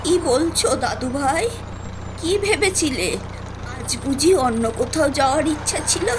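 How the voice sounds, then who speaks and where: Woman playing lively high-voiced kindly old granny. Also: normal speed, noisy background. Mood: reassuring, fond. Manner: folksy, affectionate, reassuring. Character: kindly elderly village great-aunt, folksy and reassuring. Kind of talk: Bengali moral-story cartoon acting. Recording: music behind the voice.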